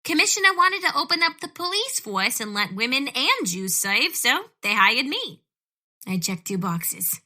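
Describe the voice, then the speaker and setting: A woman playing a chatty, put-upon police trainee in a comedic audio drama, brisk, emphatic and wryly grumbling. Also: high voice, sing-song, pushed and bright, heavily acted.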